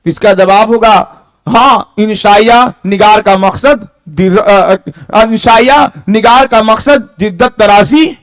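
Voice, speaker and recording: A man's voice: high-pitched, slow pace. A man teaching an exam-prep lesson, reading out questions and answers, repeating them and checking that students follow.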